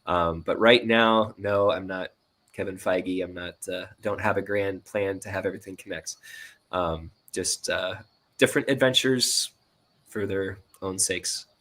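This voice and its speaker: A man with a fairly low voice chats as a guest on an interview show. He is casual and a little tentative, thinking aloud and often qualifying what he says. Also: steady tone, a little slow, a bit bright. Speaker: guest, indie audio drama writer and sound designer.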